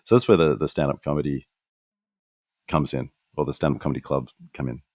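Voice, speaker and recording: Male podcast host, very deep voice, dry sound, reflective and self-critical. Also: steady tone, darkish tone, no room sound. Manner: reflective, self-critical, quick asides and restarts. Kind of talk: conversational interview answers. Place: apartment in New York.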